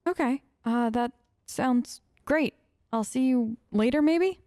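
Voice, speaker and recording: A woman improvises a game character's replies for a let's play in a conversational, hesitant way. Her voice is fairly high, and she feels her way haltingly through each line. The sound is close and dry, with no room to it. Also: mellow, no echo. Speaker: gaming let's player.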